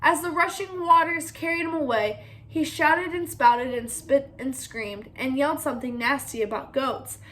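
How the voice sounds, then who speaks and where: Female, high-pitched, children's storybook narration, interactive read-aloud. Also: normal speed, few pauses, fairly bright tone, a little hiss or hum.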